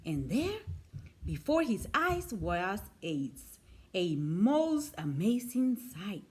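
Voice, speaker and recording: A woman narrating a children's storybook aloud in an expressive, deliberate way. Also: mid-range voice, slightly rough. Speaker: storybook reader.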